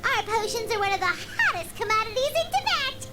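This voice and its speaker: A woman voicing a tiny, excitable, childlike fairy companion in a video game, chirpy and squeaky. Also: brisk. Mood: boastful. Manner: speaks of herself in third person, chirpy. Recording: game music behind the voice.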